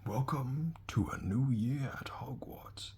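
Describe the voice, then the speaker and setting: A man voicing an elderly, eccentric headmaster wizard, speaking slowly in a soft, breathy whisper. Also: medium pitch, slight background noise, pitched above the performer's own voice, natural voice. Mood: whimsical. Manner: slow, gentle, whimsical.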